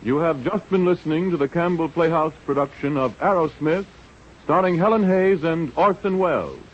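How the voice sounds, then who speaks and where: A man's voice: a little high, normal speed. An American man announcing on 1930s radio, reading scripted copy in a polished, emphatic salesman's manner. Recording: dull vintage radio broadcast, music behind.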